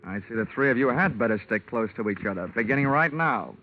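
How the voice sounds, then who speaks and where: A man's voice: medium pitch, expressive, quick pace, a little hiss or hum, natural voice. A man playing a wisecracking hard-boiled insurance investigator who narrates his case in a dry, clipped manner in a 1950s radio drama. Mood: wry. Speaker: narrator and lead detective. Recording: muffled old radio broadcast with music.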